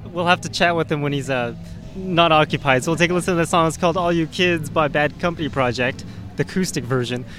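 Guy hosting a punk radio interview outdoors, highish voice, laid-back and laughing a lot. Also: fast talker, soft background music. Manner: relaxed, chatty, laughs often. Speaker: radio show host.

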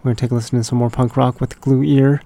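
A man presents a radio show in a casual, unhurried manner. His voice is raspy and stuffed up from a cold, with a gravelly creak and a dark, relaxed tone that suits his rambling DJ chat. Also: mid-range voice. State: congested from a lingering cold. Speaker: punk rock radio show host.

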